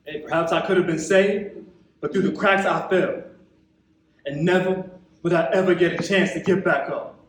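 A young man with an American accent performing a theatrical stage monologue as an embittered, wounded young man, impassioned and colloquial, with dramatic pauses. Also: a little high, fairly even intonation, a little hiss or hum, natural voice. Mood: indignant. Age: young adult. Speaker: actor in a monologue competition. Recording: echoey hall, recorded from far off.

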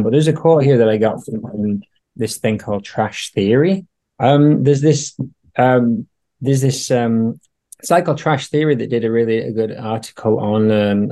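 A man's voice: lowish voice, mellow. A man hosting a casual music discussion, feeling his way through his points with some hesitation. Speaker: podcast host.

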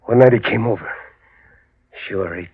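An actor playing a desperate, hot-tempered working-class husband bent on revenge, talking in rough slang in an old radio drama. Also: male voice, fairly low pitch, moderate pace. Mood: brooding. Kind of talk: old-time radio acting. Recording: echoey, muffled old radio recording.

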